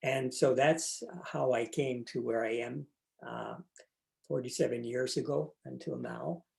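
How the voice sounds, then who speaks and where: Older guy, gravelly creaky voice, thoughtful and pausing, talking over a call. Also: medium pitch, steady tone, darkish tone. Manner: thoughtful, pausing with fillers, light laughs. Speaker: interview guest, monk. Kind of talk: reflective personal account.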